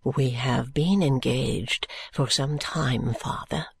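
A reader voicing a meek, dutiful young man in an audiobook, pleading earnestly and submissively in a hushed way. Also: mid-range voice, unhurried, breathy edge, dry recording. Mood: submissive, anxious. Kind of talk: audiobook character voice.

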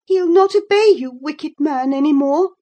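A headstrong young storybook heroine as a woman narrator acts her out. Her voice leaps high and rings out insolently. She is bold, outspoken and taunting. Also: moderate pace, dark tone, natural voice. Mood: defiant, agitated.

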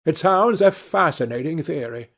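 A man's voice: on the high side, expressive, normal speed, a bit bright, voice pushed up. A man doing a gracious society lady. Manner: gracious, murmuring.

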